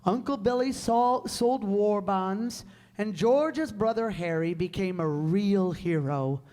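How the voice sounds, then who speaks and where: An actor playing a patient senior angel in a radio play, narrating in a measured storytelling manner. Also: male voice, sits high, a little mellow. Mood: brisk, recounting. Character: senior heavenly angel, patient storyteller briefing his underling. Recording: echoey hall, long reverb.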